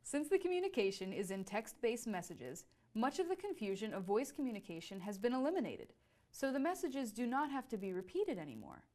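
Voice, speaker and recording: A woman's voice: on the high side, big pitch swings, a little fast, mellow. A woman presenting a scripted educational explainer.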